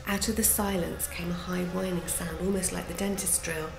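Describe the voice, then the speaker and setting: A woman author narrating a chapter from her own children's book in an expressive, lively reading style. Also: on the low side, moderate pace, gravelly. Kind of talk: storybook narration. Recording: roomy with background noise.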